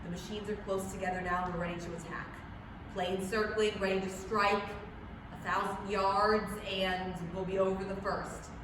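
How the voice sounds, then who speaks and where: A woman's voice: mid-range voice, little pitch movement, normal speed, lightly acted. A woman playing a tense, determined military pilot in a 1930s radio drama reading, with terse clipped delivery, in a noisy reverberant hall far from the microphone. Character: military bomber commander radioing battle reports, tense, determined. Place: public library staged reading before audience.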